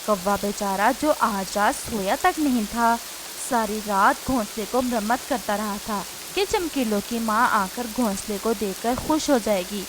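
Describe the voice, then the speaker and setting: A woman with a high-pitched voice narrates a children's animal story. Her delivery is scripted storytelling. A faint layer of noise lies behind the voice. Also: measured pace.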